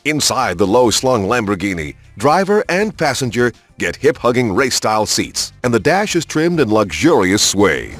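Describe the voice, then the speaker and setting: Lively male car showcase narrator over music. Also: medium pitch, normal speed, hardly any pauses. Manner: polished, emphatic announcer delivery. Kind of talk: scripted promotional car narration. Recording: music under the voice. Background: background music.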